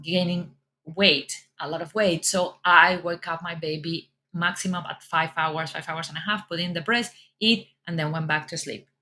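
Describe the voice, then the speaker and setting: A woman's voice: on the low side, a bit bright. A middle-aged woman with a Colombian accent giving parents reassuring advice and repeating her words often. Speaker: mom fitness coach. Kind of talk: casual advice talk.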